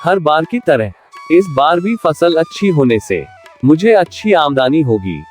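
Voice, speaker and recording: An actor voicing a clever, humble poor farmer in a cartoon, polite and quietly confident. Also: male voice, fairly high pitch, big pitch swings, unhurried, soft background music. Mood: calm.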